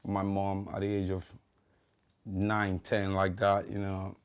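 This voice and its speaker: A man's voice: sits low, monotone, takes it slowly, clean tone. A young Nigerian man, a musician guest, talking loosely in a casual interview and often saying "you know what I mean".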